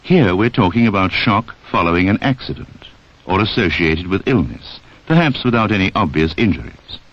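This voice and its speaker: Low-voiced narrator, old film sound. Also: male voice, lively intonation, normal speed. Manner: direct, addresses the listener, rhetorical questions. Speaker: narrator of a public information film. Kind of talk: 1960s instructional film narration, scripted. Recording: dull band-limited 1960s film soundtrack.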